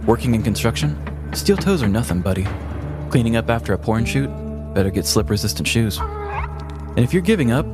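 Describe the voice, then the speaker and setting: A man's voice: medium pitch, very animated, fairly quick, long pauses, over music. A man from Missouri with an American accent, chatting and telling stories casually as a comedic podcast co-host. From Missouri, United States. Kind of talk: casual comedic chat and storytelling.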